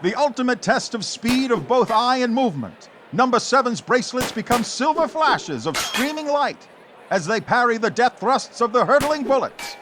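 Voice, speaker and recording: A man's voice: very high voice, very animated, moderate pace, noisy background. A man narrating dramatically in the scripted style of a 1940s radio serial, theatrical and suspenseful.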